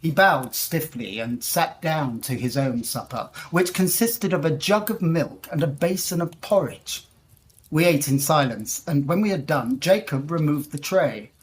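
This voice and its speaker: A woman acts a confident gentleman traveller in a gothic audio drama. The voice sits a little low, with educated, formal phrasing and a deliberate storyteller's delivery. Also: animated. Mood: reflective storytelling. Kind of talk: period audio drama acting and narration.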